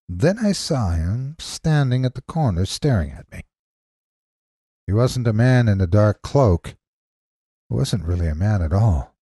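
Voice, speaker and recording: Deep, husky male horror storyteller. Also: warm tone, no room sound, clean studio sound. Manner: deliberate, theatrical, suspenseful phrasing. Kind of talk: scripted dramatic horror narration.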